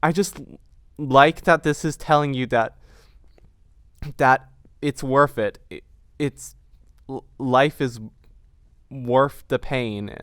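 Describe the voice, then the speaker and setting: A man's voice: mid-range voice, steady tone, takes it slowly, pauses often, fairly bright tone, clean tone, no room sound, studio quality. A young man talking reflectively in an interview, earnest and searching for words, often repeating himself. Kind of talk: reflective interview talk about a production.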